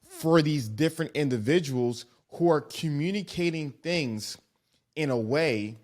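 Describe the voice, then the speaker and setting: A man hosting a podcast, responding appreciatively in halting speech full of fillers. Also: on the high side, darkish tone. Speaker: podcast host. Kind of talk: podcast interview.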